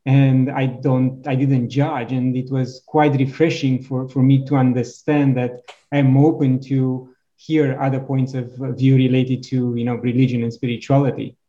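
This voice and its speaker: A man's voice: medium pitch, little pitch movement, moderate pace, mellow. A man hosting an interview, speaking hesitantly with many fillers. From Romania. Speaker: host. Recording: dull lo-fi audio.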